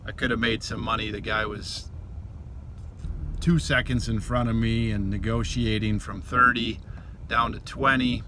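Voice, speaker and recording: A man's voice: fairly low pitch, steady tone, measured pace, noisy background. A man with an American Midwestern accent, chatting casually and offhandedly as a vlogger, mumbling a little as he rambles. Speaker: vlogger, reseller. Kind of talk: casual rambling vlog talk.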